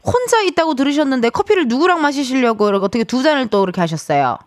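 A woman in her thirties hosting a casual radio show in a studio, chatting with listeners in a playful, teasing, animated manner. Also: sits high, very fast, no echo. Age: 30s. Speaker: radio host. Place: broadcast studio.

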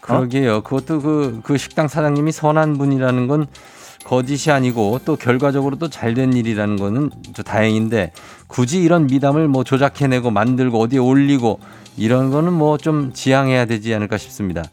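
Measured male radio host, soft music behind. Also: medium pitch. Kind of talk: radio news chat.

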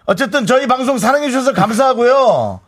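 A man hosting a radio show, running the segment with quick joking quips. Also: very high-pitched, expressive, a bit bright. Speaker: radio host. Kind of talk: radio talk, joking with a caller.

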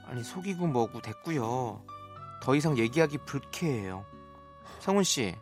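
A man's voice: medium pitch, lively intonation, unhurried, soft background music. A man voicing a blunt, easily flustered woman of thirty-two in a radio story reenactment. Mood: indignant. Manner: flustered, prim, indignant. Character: blunt, easily flustered 32-year-old single woman telling her story.

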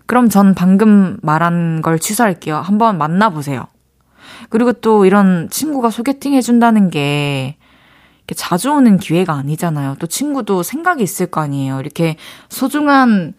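A young woman DJ with a light Daegu lilt chats her way through listener letters on the radio. She is playful, thinks out loud, and now and then changes her mind mid-thought. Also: lowish voice. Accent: Daegu Gyeongsang Korean. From Daegu, South Korea. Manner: chatty, playful, thinks aloud. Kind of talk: casual radio chat reading listener letters.

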